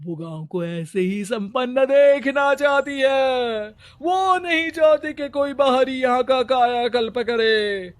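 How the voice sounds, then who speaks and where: Sinister fake holy man. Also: very high-pitched. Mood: menacing. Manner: ominous and drawn-out, later stammering. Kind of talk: cartoon voice acting.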